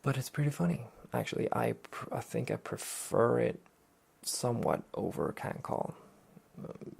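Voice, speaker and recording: Soft-spoken man, very creaky, hesitant. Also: mid-range voice, animated, moderate pace. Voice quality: soft, breathy, often near-whisper. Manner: hesitant, rambling, understated. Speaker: host of a soft-spoken anime talk show. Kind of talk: soft-spoken relaxed anime review.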